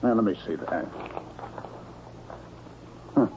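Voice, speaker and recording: An actor in a radio drama playing a bossy, brusque company boss who hectors, interrupts and justifies himself. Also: male voice, on the low side, takes it slowly. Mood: smug, blustering. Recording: tinny, hissy old radio broadcast recording.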